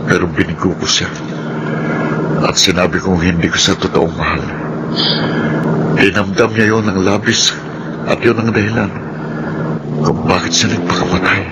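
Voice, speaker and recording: A man's voice: deep voice, steady tone, normal speed, pauses often, heavy background noise, lightly acted. A man playing a guilt-ridden young man in a radio drama, speaking solemnly and earnestly. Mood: remorseful. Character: guilt-ridden young man who broke his promise. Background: loud music.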